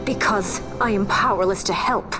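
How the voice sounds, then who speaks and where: An actress playing a proud, earnest young princess in a video game, speaking with formal dignity. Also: female voice, mid-range voice, normal speed, music in the background, close to an everyday voice. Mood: indignant, formal. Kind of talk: scripted video game cutscene acting.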